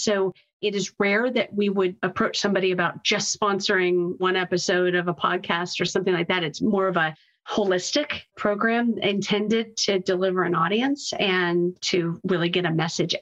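A woman speaking as an interview guest in a conversational business style, measured and explanatory, on a slightly lo-fi connection. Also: mid-range voice, a bit bright. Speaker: guest, marketing executive.

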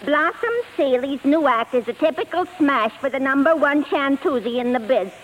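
A woman's voice: high voice, moderate pace, fairly bright tone, rough recording. An actress playing a blunt, wisecracking female assistant in a 1950s radio drama, sardonic, snappy and plain-spoken. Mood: brisk, exasperated. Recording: faintly hissy 1950s radio broadcast.

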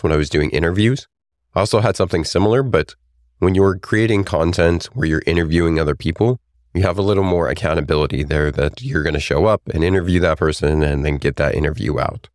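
A man with a very deep, raspy voice, hoarse from a recent illness, talking through his own essay as a podcast host. He speaks earnestly and reflectively, at a measured pace. The sound is dry and close, with no room around it. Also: no room sound. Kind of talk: solo podcast monologue and essay reading.

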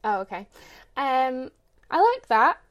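A woman's voice: sits high, expressive, unhurried, fairly bright tone. A woman giving easygoing, plain-spoken opinions as a guest on a casual podcast. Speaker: guest reviewer. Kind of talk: casual chat.

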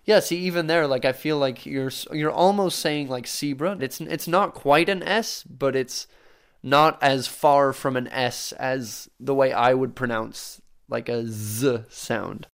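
Creaky, clear-voiced man, tentative. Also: medium pitch, measured pace. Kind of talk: hesitant casual conversation.

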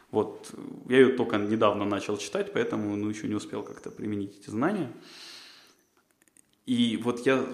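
A man's voice: medium pitch, roomy sound. A man, a podcast co-host, answering interview questions in a rambling way, with many fillers and restarts. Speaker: job candidate, podcast co-host.

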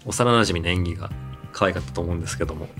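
A man's voice: deep voice, very fast, a bit bright, faint music behind. A man co-hosting a casual podcast chat in an easygoing, agreeable manner. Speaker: podcast co-host and drama writer.

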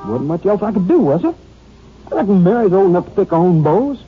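A folksy young small-town man bristles and dodges questions in an old radio drama. His fairly high voice moves up and down a lot. The sound is narrow and vintage. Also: very animated, quick pace, soft background music. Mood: uneasy, evasive. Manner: prickly, folksy, defensive. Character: defensive, evasive young small-town brother protecting his sister. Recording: tinny, noisy old radio recording.

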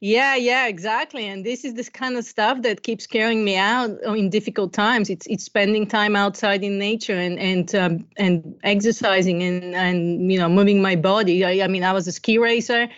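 A woman's voice: mid-range voice, steady tone, moderate pace, few pauses, dull audio. A middle-aged woman from Bulgaria with a Bulgarian accent, talking conversationally about herself as a podcast guest. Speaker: podcast guest, coach and entrepreneur. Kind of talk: conversational interview, telling life story.

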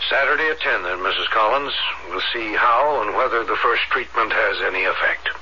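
A man playing a calm, probing psychiatrist in an old-fashioned radio drama, measured, professional and authoritative. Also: on the low side, lightly acted. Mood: assured. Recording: dull, noisy old radio recording.

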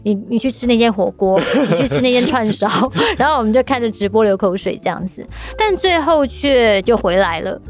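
A woman's voice: a little high, expressive, brisk, hardly any pauses, soft background music. A woman with a Taiwanese Mandarin accent, hosting a podcast in a casual, conversational interviewing style. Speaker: podcast host.

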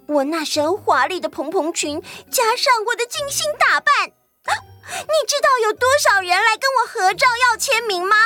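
A woman voicing a bubbly, excitable, scatterbrained young detective's assistant in a children's audio story, chatty and boastful. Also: very high voice, measured pace, soft background music, pitched above the performer's own voice, full character voice. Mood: proud, excited.

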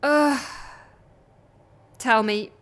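A woman acting as a groggy, nauseous, embarrassed woman in an audio drama, groaning and halting as she talks. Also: high voice. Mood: dreading, disgusted. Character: groggy, queasy, embarrassed woman recovering from sickness.

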